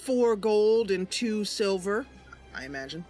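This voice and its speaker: Lively woman streamer narrating roleplay. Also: medium pitch, measured pace, faint music behind. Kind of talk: casual narration of a game roleplay.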